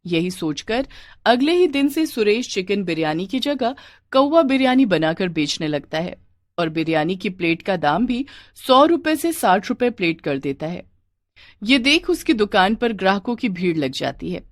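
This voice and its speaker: A woman's voice: mid-range voice, sing-song, normal speed, no echo, clean studio sound. A woman narrating a scripted moral tale as a storyteller.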